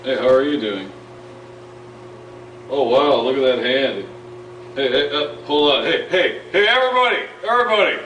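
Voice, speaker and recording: A student actor playing Jesus as a patient teacher in a casual amateur skit, speaking in an easygoing way. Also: male voice, medium pitch, expressive, moderate pace, pauses often, a bit bright, lightly acted. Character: calm adult male Jesus, patient teacher. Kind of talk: amateur class skit acting. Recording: roomy distant noisy home video.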